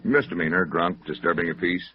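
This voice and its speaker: A man's voice: sits low, fairly even intonation, fairly quick, lightly acted. A man acting a terse, matter-of-fact police sergeant in a 1950s radio drama, clipped and official. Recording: dull old radio recording.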